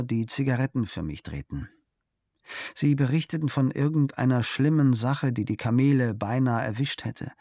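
A man calmly reading a travel diary aloud as scripted narration, measured and unhurried. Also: medium pitch, dry recording, clean studio sound. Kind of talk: calm scripted literary narration.

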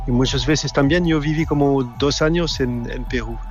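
Halting French-accented man, dull sound. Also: medium pitch, soft background music. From Switzerland. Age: middle-aged. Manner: halting, searching for words with repetitions. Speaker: film director, interview guest. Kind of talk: conversational interview answers.

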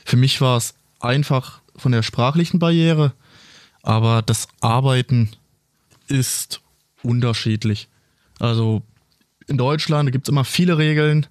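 A man's voice: medium pitch, measured pace, no room sound. A man whose first language is French, a police officer, recounting his work plainly and matter-of-factly in a studio interview.

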